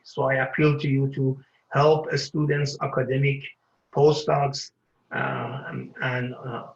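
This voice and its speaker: An older man from Iran giving an award acceptance speech, halting and full of filler pauses. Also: medium pitch, gravelly, fairly bright tone, roomy sound, muffled recording. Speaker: award recipient.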